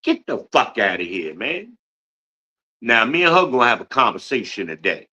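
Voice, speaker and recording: A man's voice: medium pitch, very animated, normal speed, sharp tone, breathy edge. A man in his fifties from Newark, New Jersey, hosting a podcast livestream with a boastful, blunt, profane rant. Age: 50s. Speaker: podcast host.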